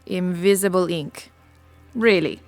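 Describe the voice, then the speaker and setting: A woman's voice: lowish voice, takes it slowly, faint music behind. An actress playing a playful, skeptical young village woman in a video game, with a casual, teasing manner. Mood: skeptical, curious. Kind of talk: video game cutscene acting.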